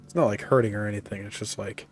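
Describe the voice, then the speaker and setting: A man streaming a video game, talking casually in a relaxed, rambling way with many fillers, his voice congested from a cold. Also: fairly low pitch, fast talker, soft background music. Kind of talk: casual gaming commentary.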